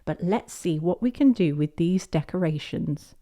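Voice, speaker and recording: A woman's voice: on the low side, lively intonation, moderate pace, mellow. A woman voicing a jolly old grandfather pig in a children's storybook, playful and hammy.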